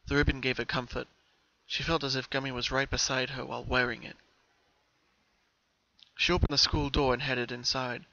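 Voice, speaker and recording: A man gives a scripted dramatic reading as a story narrator. His voice sits at a middle pitch with a bright, forward tone. The sound is a little dull, like a home recording.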